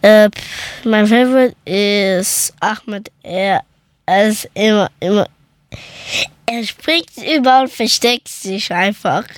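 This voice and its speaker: A woman chatting casually in halting speech, slipping English words into her sentences. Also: mid-range voice, no room sound. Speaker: conversation partner. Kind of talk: casual chat in learner German.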